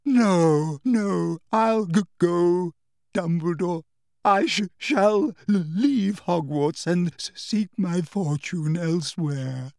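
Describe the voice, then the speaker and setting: A man's voice: high voice, slow, vocal fry, darkish tone, no room sound, clean studio sound, voice pushed up, heavily acted. A man voicing a sobbing, stammering old seer woman. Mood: tearful. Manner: stammering, choked with sobs. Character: tearful, trembling older woman seer.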